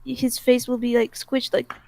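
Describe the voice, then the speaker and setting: A woman's voice: a little high, little pitch movement, normal speed, creaky, a little mellow. Blunt, sweary woman in casual chat. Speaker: podcast co-host. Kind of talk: casual gaming podcast chat among friends.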